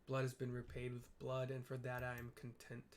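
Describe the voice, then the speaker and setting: A vengeful inquisitor from a fantasy game , read out by a man. The voice is middling in pitch, with formal, solemn phrasing. He is menacing, bitter and defiant to the last. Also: completely flat delivery, moderate pace, natural voice. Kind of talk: reading fantasy game dialogue aloud.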